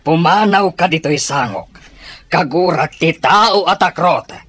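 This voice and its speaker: An actor playing a stern, proud family patriarch with a husky voice in an Ilocano Filipino radio drama. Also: male voice, a little high, expressive, measured pace, a bit bright, heavily acted. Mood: angry, disowning.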